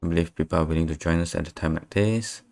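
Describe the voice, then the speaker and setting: A man's voice: low voice, fast talker, vocal fry, darkish tone. A man streaming a game, reacting with short, murmured comments and reading text aloud. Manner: terse, murmured acknowledgements. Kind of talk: casual play-along comments. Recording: over faint game music.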